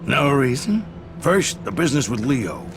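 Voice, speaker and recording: A man's voice: mid-range voice, very animated, a little slow, music in the background. A man playing an angry, aging Italian mafia boss in a video game cutscene, commanding and sardonic, with menace. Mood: angry, threatening. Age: older. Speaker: crime boss.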